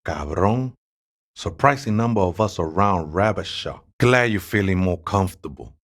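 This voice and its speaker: A man's voice: low-pitched, animated, normal speed. An actor playing a serene, devout ex-gang man with a Mexican accent who talks in laid-back, reverent street slang for a video game. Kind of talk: video game character dialogue.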